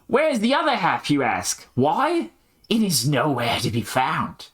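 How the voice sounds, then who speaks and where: Excitable young adventurer, played by a man: high, swooping delivery, exasperated, exclamatory, amateur drama. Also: measured pace. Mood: exasperated, pleading. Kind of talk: amateur scripted character dialogue.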